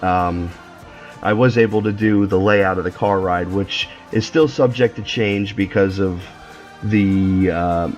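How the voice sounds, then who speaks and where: A man's voice: low voice, lo-fi sound. A man co-hosting a casual gaming chat, a little nasal and scratchy from a sinus infection, rambling with lots of fillers. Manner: relaxed, rambling, many fillers. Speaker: co-host building a theme park in a video game.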